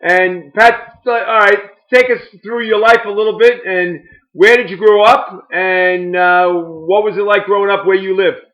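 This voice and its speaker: A man's voice: sits high, steady tone, a little slow, few pauses, fairly bright tone. A man hosting a sports podcast in casual chat, talking chattily and restarting words as he asks long, rambling questions. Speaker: podcast host. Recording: dull, lo-fi.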